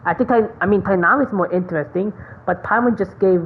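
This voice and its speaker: A woman giving casual gameplay commentary, thinking aloud in a halting way. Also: mid-range voice. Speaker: game streamer. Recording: dull lo-fi mic, faint background noise.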